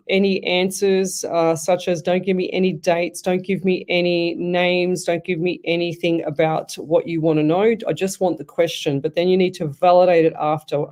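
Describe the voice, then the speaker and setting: A woman's voice: lowish voice, a little mellow. An Australian woman hosting a live radio show as a psychic medium, chatty and rambling, often restarting her sentences. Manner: chatty, rambling, self-interrupting.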